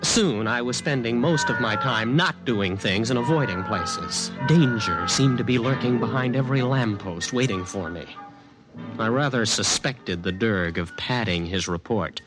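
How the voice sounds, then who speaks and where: A man's voice: lowish voice, lively intonation, normal speed, natural voice, rough recording. A young man playing a skeptical college student in a 1950s radio drama, talking in a casual, wry way. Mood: wry narrating. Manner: casual, hesitant questioning, wry. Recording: vintage radio recording with music.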